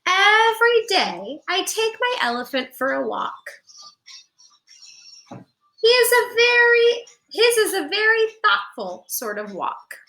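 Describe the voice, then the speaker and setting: Woman, children's storybook reader, bright high voice, expressive, talks to her young listeners. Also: slow, low-quality recording. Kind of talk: read-aloud picture book narration for young children.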